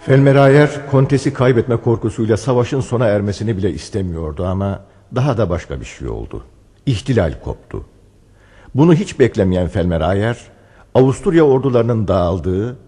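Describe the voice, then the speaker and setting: A reverberant, deep and husky storyteller for a radio drama. His solemn narration swings expressively in pitch. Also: warm tone. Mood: dramatic, grave. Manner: measured, dramatic. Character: solemn male storyteller narrating a wartime romance.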